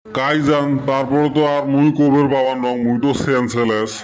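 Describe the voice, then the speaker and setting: A man's voice: medium pitch, steady tone, normal speed, lightly acted. A man voicing a henpecked, aching, complaining village father in a comic cartoon, with a western Assam Goalpara accent. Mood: aggrieved, sheepish. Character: henpecked, aching village father, whining about his wife. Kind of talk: comic dialect cartoon dialogue.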